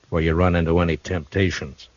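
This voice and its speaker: An actor playing a steady, shrewd frontier marshal in a 1950s radio drama, speaking in a calm, dry, measured way. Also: male voice, low voice, dry recording, natural voice. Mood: calm, probing. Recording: dull old radio broadcast recording.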